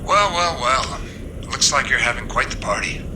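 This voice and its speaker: Smug karate-game villain, male actor: taunting and falsely courteous, highly varied pitch, heavy storm noise behind. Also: expressive, normal speed, natural voice. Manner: taunting, mock-polite. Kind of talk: video game cutscene acting. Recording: loud rain and storm noise.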